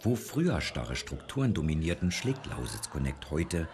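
A man's voice: extremely low pitch, very animated, moderate pace, a crowd in the background. A man narrating a scripted regional news report as the reporter.

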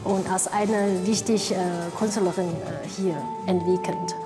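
Lady artist, halting second-language german, music playing behind. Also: medium pitch, steady tone. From Taiwan. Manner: non-native German, halting with many "äh" fillers. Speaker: dancer and artist.

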